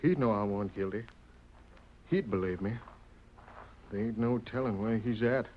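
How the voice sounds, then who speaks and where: A man's voice: on the low side, moderate pace, natural voice. A man playing a wrongly jailed frontier man in a radio western, with a folksy American West accent. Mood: despairing. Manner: folksy rustic Western speech. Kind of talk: 1940s radio drama acting. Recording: noisy lo-fi old radio recording.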